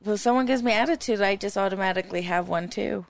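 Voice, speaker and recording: A woman's voice: mid-range voice, fast talker, creaky. A woman in her twenties talking in casual banter as a podcast guest, slangy and sassy. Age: 20s. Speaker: car dealership warranty clerk.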